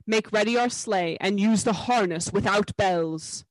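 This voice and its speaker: A woman's voice: mid-range voice, unhurried, no room sound, natural voice, low-quality recording. A woman voicing a cruel witch queen with a commanding, menacing manner for a storybook.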